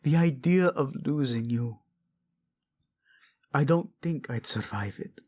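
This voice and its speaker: Protective, lovelorn prince male actor: breathy and husky, very unhurried, intense and tender, romantic audio roleplay. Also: mid-range voice, darkish tone. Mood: guilty, loving. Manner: intense, pleading, tender, often dropping to a whisper. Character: devoted, protective, guilt-ridden prince in love.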